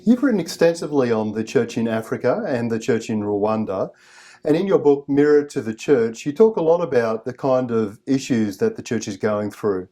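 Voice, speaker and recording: A man hosts an interview, opening with a read introduction before moving on to calm, measured questions. He listens attentively and keeps up a soft murmur of acknowledgement while his guest talks. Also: medium pitch. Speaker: interview host.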